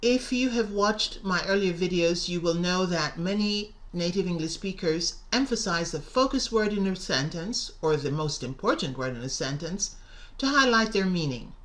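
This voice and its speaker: Woman, middle-aged, lower-pitched voice, instructional narration, deliberate, emphatic on key words. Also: expressive, fairly bright tone, low-quality recording. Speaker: English teacher and presenter. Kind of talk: instructional video lesson, scripted narration.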